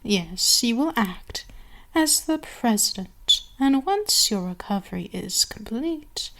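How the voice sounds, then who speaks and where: A woman playing a cruel, seductive vampire queen in an ASMR roleplay, speaking haughtily and deliberately, with lingering pauses and breathy whispers. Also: on the high side, expressive, a bit bright, exaggerated voice, low-quality recording. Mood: haughty scheming.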